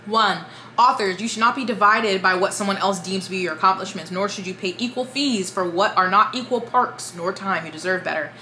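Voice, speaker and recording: Book channel host, woman, reads a statement aloud at a quick clip, roomy echo. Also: medium pitch, slight background noise. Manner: brisk, steady read-aloud delivery. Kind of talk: reading a written statement aloud.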